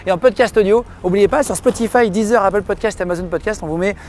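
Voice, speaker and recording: A man hosting an interview podcast, quick and direct, who piles up short questions. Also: sits high, darkish tone, slight background noise. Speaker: podcast host. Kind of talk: interview host and channel intro.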